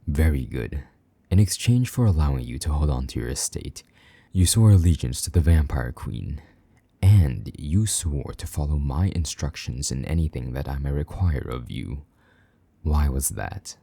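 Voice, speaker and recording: Man acting a very deep, dark-voiced possessive vampire knight. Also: expressive, no echo, full character voice. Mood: stern, threatening. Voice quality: husky, breathy, half-whispered at times. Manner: condescending, slow-burning menace, mock-sweet endearments. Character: possessive, jealous male vampire knight, haughty and menacing. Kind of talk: intimate audio roleplay acting.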